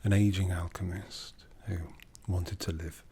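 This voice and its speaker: A man playing an ancient, jaded, aristocratic vampire in a breathy, half-whispered ASMR roleplay, haughty and seductive with long deliberate pauses. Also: low-pitched, level tone, mellow, exaggerated voice. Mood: weary, disdainful. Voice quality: breathy, often dropping into whisper.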